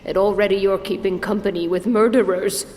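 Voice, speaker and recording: An actor playing a stern, disapproving older priest, formal and reproving, in a fantasy audio drama. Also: male voice, sits high, steady tone, a little fast, a little mellow, natural voice. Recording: echoing hall, faint noise.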